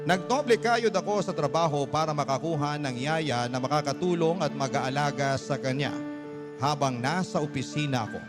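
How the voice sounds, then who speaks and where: A man giving a dramatic scripted narration as a radio storyteller, earnest and sentimental. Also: fairly high pitch. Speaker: radio storyteller reading a listener's letter. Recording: clear music bed under voice. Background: music.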